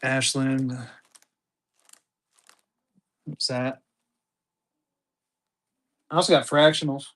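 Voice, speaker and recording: A tired man running a live banknote auction on a stream, hyping bids in quick bursts and talking about himself in the third person. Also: mid-range voice, flat delivery, fairly bright tone.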